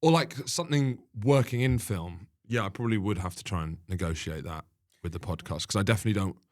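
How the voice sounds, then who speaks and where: A man in casual conversation as a podcast guest and comedian, wry and bantering, quick to laugh. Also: low voice.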